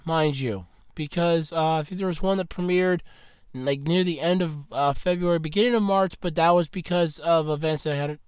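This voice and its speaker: A man's voice: sits high, clean tone, no echo. Sick male podcaster, close on the mic. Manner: frank, grumbling, swears casually. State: sick, losing his voice. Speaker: podcast host. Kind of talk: casual solo podcast talk. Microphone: very close mic, about two inches away.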